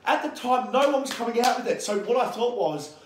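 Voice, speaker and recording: A man's voice: extremely high pitch, lively intonation, a little fast, slight background noise. An Australian man in his thirties, a strength coach and podcast guest, talking brashly with plenty of swearing. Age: 30s. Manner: brash, fired-up, swears freely. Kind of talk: podcast interview. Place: gym, indoors. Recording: echoey gym room.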